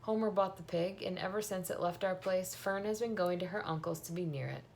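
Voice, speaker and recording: A woman's voice: fairly low pitch, a little fast, darkish tone, slight background noise, close to an everyday voice. A woman reading the part of a worried, practical farm mother in a storybook, fretful and questioning.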